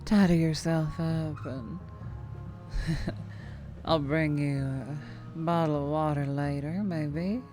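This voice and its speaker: A woman's voice: low voice, fairly even intonation, over music. A woman voicing a teasing, menacing, sweet-talking vampire trucker with a Southern US accent, in an intimate ASMR roleplay. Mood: teasing, soothing. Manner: slow, teasing drawl with pet names and chuckles.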